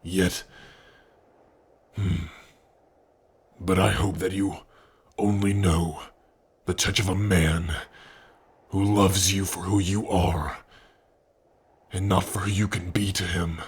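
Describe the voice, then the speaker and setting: Husky, deep, slow-speaking gentle orc played by a man. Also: little pitch movement, exaggerated voice. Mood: loving, earnest. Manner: tender, earnest, slow and breathy with sighs. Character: big gentle orc man, devoted tender lover. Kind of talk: ASMR romance roleplay, intimate monologue.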